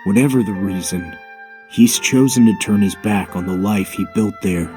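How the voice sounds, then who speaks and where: A man plays a calm, understanding companion in a video game drama. His low voice is measured and matter-of-fact, frank but empathetic. Prominent music plays behind him. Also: lightly acted.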